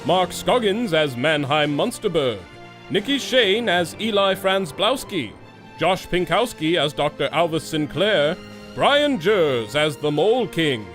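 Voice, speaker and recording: Casting: male serial announcer, highish voice, very animated, old-time radio style over light music. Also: measured pace.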